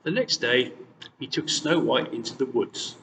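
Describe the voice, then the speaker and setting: A man reading a fairy tale aloud as scripted, expressive storytelling. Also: medium pitch, unhurried, gravelly. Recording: distant mic, long reverb, faint noise.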